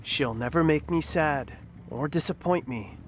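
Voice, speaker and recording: A woman's voice: low-pitched, a little slow, a little hiss or hum. A woman playing a hot-tempered, foul-mouthed, sulky biker in an audio drama. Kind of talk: animated audio drama acting.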